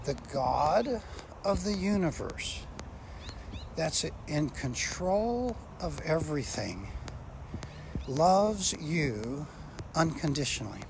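An older man, a pastor, preaching earnestly and slowly on a walk outdoors, filmed on a phone held at arm's length. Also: a little high, very animated, creaky. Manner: slow, earnest preaching with drawn-out phrases. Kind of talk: outdoor devotional walk-and-talk. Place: outdoors in bright sun. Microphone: phone held low at arm's length. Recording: phone recording outdoors with background noise.

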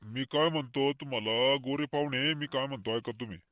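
A man's voice: mid-range voice, brisk, noisy background, lightly acted. A man playing a practical, persuasive village headman in a comic cartoon, with a rural Maharashtrian accent and an insistent, colloquial manner.

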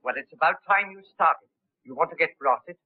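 A man's voice: on the high side, fairly quick, no echo, lightly acted. A man playing a loyal formal butler. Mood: earnest. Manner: polite, formal, keeps saying sir. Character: deferential loyal male manservant, middle-aged. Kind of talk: 1930s film acting. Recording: tinny old 1930s film soundtrack.

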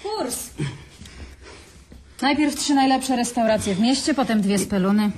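Woman acting a high-voiced, reproachful, resigned woman. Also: a little slow, a little mellow, a little hiss or hum, lightly acted. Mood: resigned, wry. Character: Ola, reproachful, resigned woman close to a drinker. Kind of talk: naturalistic audio drama acting.

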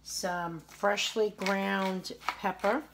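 A woman's voice: mid-range voice, steady tone, drawn-out words, noisy background. A woman in her forties talks through a recipe in her own kitchen, chatty and homey, recorded on a counter camera. Faint clatter of dishes and utensils sits behind her voice. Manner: chatty, homey, tasting and praising the food as she goes. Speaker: home cooking show host. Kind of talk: casual cooking demonstration. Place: home kitchen, indoors. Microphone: camera on the counter at arm's length.

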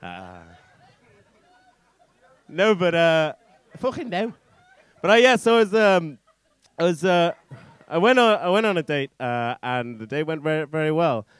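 Male stand-up comic, thirties, higher-pitched, very animated, halting and sweary, smooth clean voice. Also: no echo, a faint crowd. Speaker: stand-up comedian. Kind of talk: stand-up comedy set, informal crowd banter. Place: on stage in a small indoor comedy club. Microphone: handheld stage microphone.

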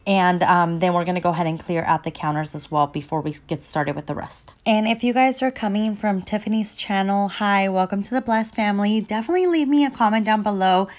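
A woman's voice: medium pitch, fairly quick, hardly any pauses. A young woman with a stuffy, sniffly cold, chatting upbeat to viewers while cleaning her home kitchen. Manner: upbeat, chatty, laughs between phrases. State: stuffy and sniffly with a sore throat. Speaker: cleaning vlogger, mother.

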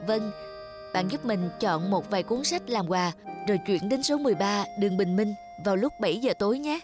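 A woman's voice: mid-range voice, expressive, fairly quick, natural voice. A woman playing a polite young assistant. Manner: polite, deferential. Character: young male office assistant, eager, deferential. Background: music.

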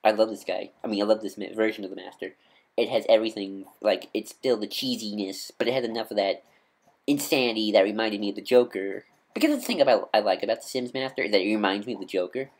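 A man with a nasal voice giving casual, off-the-cuff fan commentary as a vlogger, rambling and halting as he corrects himself. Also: fairly low pitch. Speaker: YouTube vlogger and podcaster.

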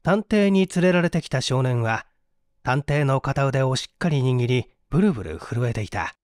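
A man's voice: mid-range voice, expressive, normal speed, darkish tone, no room sound, clean studio sound. A man reading a story aloud as dramatic scripted narration. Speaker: story reader.

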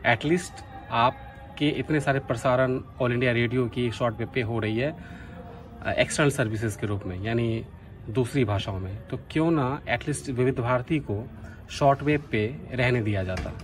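A man's voice: mid-range voice, moderate pace. A man from Bihar, India, talking casually and earnestly as a radio hobbyist making a vlog. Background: music from a radio.

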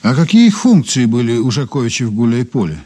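A man's voice: mid-range voice, sing-song, brisk, dark tone, breathy edge. An actor in a Soviet radio drama playing a methodical investigator, formal and businesslike as he questions and recounts a case. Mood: probing. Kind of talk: Soviet radio drama acting, part narration.

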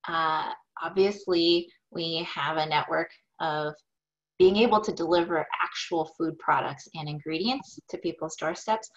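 Upbeat woman on a muffled video call. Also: low voice. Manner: upbeat, thoughtful, with fillers and laughs. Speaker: small business owner, keynote guest. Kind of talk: conversational Q&A answers. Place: home office. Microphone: video call, webcam. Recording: dull lo-fi video call audio.

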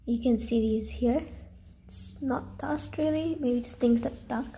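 A young woman vlogging a cleaning tutorial to a camera held at arm's length, chatty and instructive, with quiet asides while she works. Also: on the high side, measured pace, reverberant, a little hiss or hum. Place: small carpeted room indoors.

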